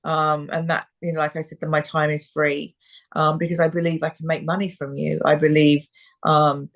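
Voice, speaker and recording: A woman talent agent on a video call, talking frankly with lots of fillers, her voice a little hoarse. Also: low-pitched, level tone, normal speed. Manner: frank, many fillers and restarts. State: slightly hoarse. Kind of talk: live video call chat. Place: quiet room in a rental house.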